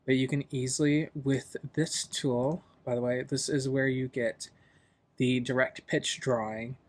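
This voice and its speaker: Man, mid-pitched voice, casual tutorial host, informal, loose explanatory delivery. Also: flat delivery, unhurried.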